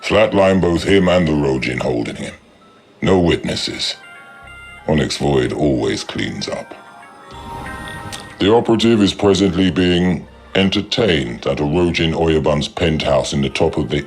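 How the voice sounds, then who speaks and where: Haughty alien crime lord, rock-bottom pitch, gravelly rasp, menacing, deliberate, video game villain. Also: male voice, expressive, soft background music, exaggerated voice. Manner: cold, pompous, deliberate threats. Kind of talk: video game cutscene acting.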